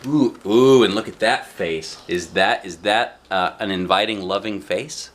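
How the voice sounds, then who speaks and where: Storytelling dad: low male voice, unhurried, expressive picture-book narration with chatty asides. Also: slight background noise.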